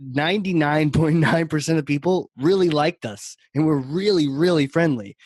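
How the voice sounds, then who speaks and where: A man's voice: fairly high pitch, moderate pace, a little mellow, husky, dry recording. Man from New Hampshire, podcast co-host, rambling chatty storyteller, laughs a lot, dry close mic. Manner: chatty storyteller, laughs often, restarts phrases. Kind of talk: casual chat.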